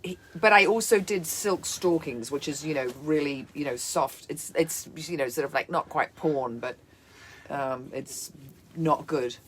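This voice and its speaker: A woman in her fifties from New Zealand with a New Zealand accent, a co-host and former actress telling animated stories in casual chat. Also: low-pitched, measured pace, a little hiss or hum. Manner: animated, laughing storyteller.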